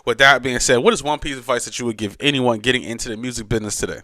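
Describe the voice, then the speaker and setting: A man's voice: medium pitch, rapid-fire, sharp tone, no echo, clean studio sound. Punchy New York man hosting a podcast. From New York, United States. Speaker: podcast host and radio DJ. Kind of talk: casual interview with slangy hype.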